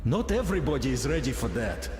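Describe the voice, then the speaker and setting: An actor playing an easygoing, pragmatic soldier in a video game, talking in a wry, conversational way. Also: male voice, mid-range voice, sing-song, quick pace, lightly acted. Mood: skeptical. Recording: echoey hall, loud music behind. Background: game music.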